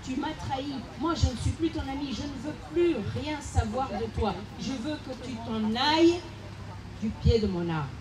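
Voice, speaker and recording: A woman's voice: high voice, lively intonation, normal speed, roomy sound, pitched above the performer's own voice. A woman voicing a sly, scornful male monkey in a folk tale told outdoors with a handheld mic. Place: outdoor festival park. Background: crowd.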